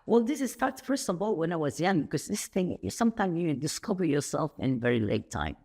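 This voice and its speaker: A woman who grew up in Saudi Arabia, a podcast guest telling stories in an animated, rambling way with sudden jumps up in pitch. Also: fairly quick, vocal fry. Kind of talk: conversational interview.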